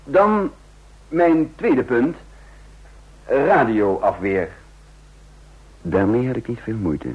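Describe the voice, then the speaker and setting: An actor playing a shrewd government intelligence adviser in a radio drama, articulate, rhetorical and persuasive. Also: male voice, medium pitch, lively intonation, drawn-out words, pauses often, husky, exaggerated voice. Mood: confident, explaining. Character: shrewd, insistent male intelligence adviser to a president. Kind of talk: radio drama acting with first-person narration. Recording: dull, lo-fi radio drama recording.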